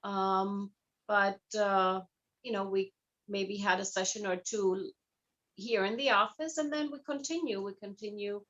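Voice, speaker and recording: Female interview guest, coach type. Chatty, anecdotal, conversational delivery, medium pitch. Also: steady tone, a little slow, fairly bright tone, dull audio. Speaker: guest, hypnotherapist and life coach.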